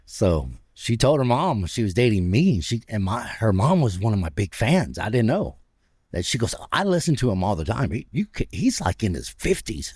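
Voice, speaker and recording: A man in his fifties with a deep, raspy voice, a radio personality telling stories with laughs in a casual interview. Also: no echo, studio quality. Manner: animated, laughs between stories. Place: stadium club room.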